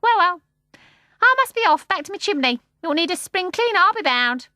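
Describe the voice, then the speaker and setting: Woman doing a shrill cheeky starling, squawky and high, loud and perky, bright and cutting, dry close sound. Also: very animated, normal speed, no echo, exaggerated voice. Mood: blustering. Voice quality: shrill, jeering. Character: cheeky boisterous male starling bird.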